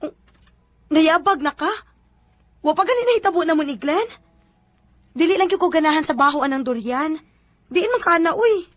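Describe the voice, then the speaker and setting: Heartbroken young woman, high-voiced. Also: animated, moderate pace, pauses often, fairly bright tone. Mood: irritated, weary. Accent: Cebuano Filipino. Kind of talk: Filipino radio drama acting in Cebuano.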